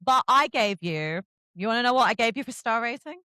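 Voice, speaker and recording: Effusive, animated woman hosting a podcast. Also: fairly high pitch, fairly quick, clear, resonant tone, no echo, clean studio sound. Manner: effusive, chatty, acts out little dialogues. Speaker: podcast host. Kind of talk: casual interview chat.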